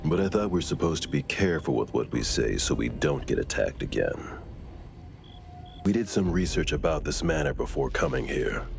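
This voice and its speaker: An actor playing a stern, cautious investigator in a game cutscene, with a measured, matter-of-fact delivery. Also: male voice, a bass voice, sing-song, vocal fry, exaggerated voice. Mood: wary. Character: stern, cautious, very deep-voiced male veteran investigator. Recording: music behind the voice.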